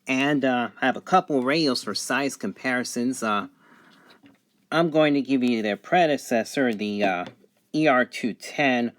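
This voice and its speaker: Male product reviewer, medium pitch, unscripted and matter-of-fact, listing features haltingly one by one. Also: fairly bright tone.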